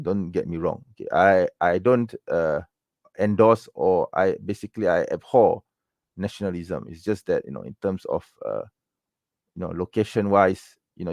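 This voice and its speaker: A man's voice: sits low, expressive, a little fast, darkish tone, dry recording. A man from Malaysia, raised in Penang, hosting a casual livestream Q&A, rambling with lots of fillers and "okay?" and "right?" tags. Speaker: livestream Q&A host. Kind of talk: casual livestream chat answering viewer questions.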